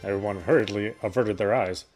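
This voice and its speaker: A man's voice: lowish voice, a little fast, a little mellow. A man reading a visual novel's narration and dialogue aloud over game music. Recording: music playing behind the voice.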